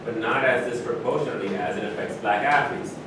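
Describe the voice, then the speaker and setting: A man's voice: fast talker, close to an everyday voice. A man acting in a staged reading as a proud, outspoken retired athlete in conversational dialogue. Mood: insistent. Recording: echoey room from far off, rumbling noise.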